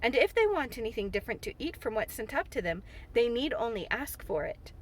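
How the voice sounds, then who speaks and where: A woman's voice: a little high, very animated, fairly quick, slight background noise. A woman reading a storybook aloud, voicing a blunt, chatty older housekeeper. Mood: gossipy.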